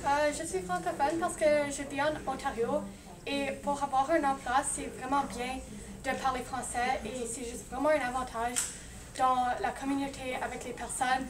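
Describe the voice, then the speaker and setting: Woman interviewee, high voice, casual delivery, echoey room with people chattering behind. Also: far from the mic. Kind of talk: casual street interview answer.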